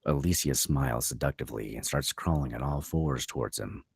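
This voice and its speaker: A man's voice: very deep voice, brisk, vocal fry, slightly rough, no room sound, clean studio sound. A man narrating stage directions as scripted narration at a table read.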